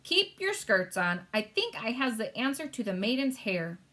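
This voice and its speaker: Woman voicing a friendly giant, higher pitched, mixed-up words, cheerful, storybook reading. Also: big pitch swings, normal speed, bright, forceful tone. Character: big friendly male giant, muddled talker. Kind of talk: storybook read-aloud.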